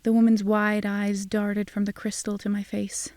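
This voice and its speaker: A woman's voice: medium pitch, completely flat delivery, normal speed, a little mellow, no echo, studio quality. A woman narrating a scripted first-person audio drama in a wry, sardonic manner.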